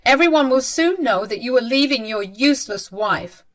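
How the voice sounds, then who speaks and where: A scheming older mother-in-law answers back in a shrill, high, irritated voice, as a woman narrator plays her. She stays defensive and snippy, told as storybook narration. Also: very animated, measured pace, a bit bright, exaggerated voice.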